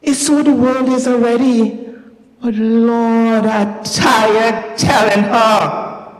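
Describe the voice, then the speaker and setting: A woman with a Caribbean accent playing a weary, worried woman fretting over a child, in a slow, emphatic, lamenting dramatized reading. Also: on the high side, steady tone. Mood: weary, pleading. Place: university recital hall stage. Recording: echoey recital hall.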